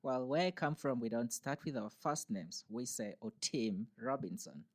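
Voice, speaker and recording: A man, guest on a podcast, cheerful and explaining things patiently. His voice sits at an ordinary middle pitch, and he talks close to a studio mic in a university studio. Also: expressive, normal speed. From Uganda. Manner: cheerful, explanatory, laughs easily. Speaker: interview guest. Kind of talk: casual interview conversation.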